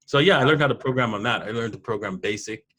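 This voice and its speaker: A man, telling personal stories in a casual interview in an animated way. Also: medium pitch, fast talker. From Toronto, Canada. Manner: animated storyteller, laughs often. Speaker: podcast guest, programmer.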